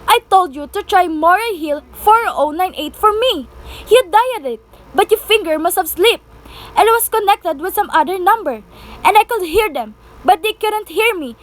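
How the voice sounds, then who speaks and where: A woman's voice: extremely high pitch, lively intonation, moderate pace. A teenage girl in an amateur radio play, playing an anxious, insistent, sickly woman alone at home. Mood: exasperated, demanding. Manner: agitated, insistent, pleading. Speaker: student actor.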